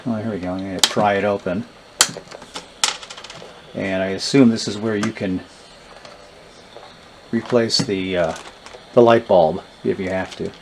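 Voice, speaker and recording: A man giving a casual, unscripted gadget demonstration, thinking aloud in a low-key, hesitant way. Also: steady tone, measured pace, long pauses. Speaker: vintage radio reviewer demonstrating gadgets. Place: indoors in a basement. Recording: noisy recording with radio static. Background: radio static and hiss.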